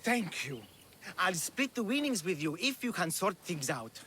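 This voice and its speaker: A man's voice: sits high, very animated, measured pace, bright, forceful tone, a little hiss or hum, heavily acted. An actor playing a nervous male bookmaker in a video game, hesitant and pleading. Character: anxious male bookmaker, fretting over bets. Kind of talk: video game cutscene acting.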